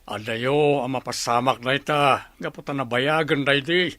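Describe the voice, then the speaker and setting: A man's voice: medium pitch, moderate pace, a bit bright, no echo, lightly acted. An actor with an Ilocano accent playing an aging, stubborn father in a radio drama, speaking in a firm and emphatic way. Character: aging stubborn father set on returning home.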